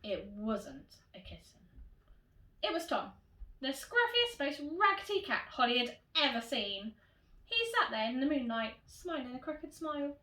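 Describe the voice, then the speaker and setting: In an echoey room, a woman reads a storybook to young children. Her fairly high voice moves with lots of expression and variety, like a primary teacher addressing her pupils. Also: sing-song, a little slow, pushed and bright. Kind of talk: animated children's storybook reading. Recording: roomy echo, distant microphone.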